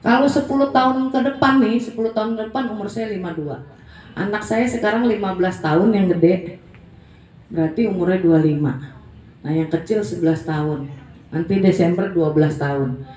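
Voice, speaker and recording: A woman's voice: medium pitch, moderate pace, darkish tone, reverberant, recorded from a distance, rough recording. A woman in her forties giving a blunt, emphatic motivational talk in a casual, colloquial way. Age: 40s. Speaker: network marketing presenter.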